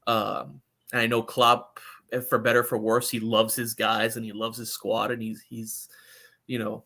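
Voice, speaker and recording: Bright-voiced man talking football. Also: medium pitch, flat delivery, moderate pace, creaky. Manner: opinionated, conversational, often checks in with "you know". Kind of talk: casual sports podcast chat.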